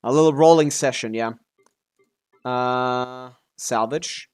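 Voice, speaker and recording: A man's voice: mid-range voice, slow pace, smooth, faint music behind. A man casually commentating on a game livestream, swearing freely as he reacts aloud and talks to himself and his chat. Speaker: game streamer.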